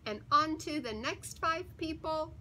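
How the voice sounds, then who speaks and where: A woman reading a children's picture book aloud in an expressive, deliberate and emphatic storytelling manner. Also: high-pitched, slight background noise. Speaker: story time reader.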